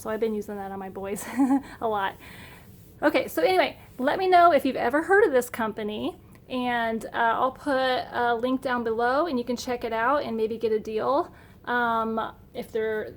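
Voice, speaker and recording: A middle-aged woman narrating a casual home unboxing in a chatty, upbeat, enthusiastic way. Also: on the high side. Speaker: homeschool vlogger. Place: indoor room at home.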